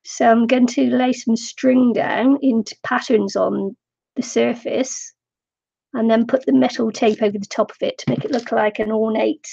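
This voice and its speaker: A woman's voice: on the high side, fairly even intonation, moderate pace, gravelly, warm tone, highs cut off. A middle-aged woman chatting casually while crafting on a live stream, slightly nasal from a cold, rambling and giggling often. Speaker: craft vlogger.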